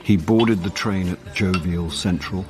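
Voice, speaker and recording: A man's voice: sits low, a little slow, darkish tone, faint music behind. An actor playing a wry, world-weary middle-aged actor who narrates his own hard-drinking life with dry self-deprecation in a radio drama. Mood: wry, droll.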